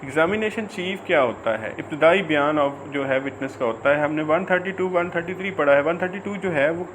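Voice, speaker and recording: Man, high voice, Pakistani accent, informal teaching, halting repetitive delivery, English terms mixed in, faint background noise. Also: a bit bright, rough recording. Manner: halting, repetitive, filler-heavy explaining; mixes English legal terms into speech. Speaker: law lecturer. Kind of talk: informal explanatory law lecture.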